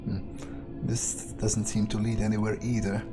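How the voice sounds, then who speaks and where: A man narrating a video game playthrough in character, thinking aloud in a musing, halting way. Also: lowish voice, little pitch movement, over music.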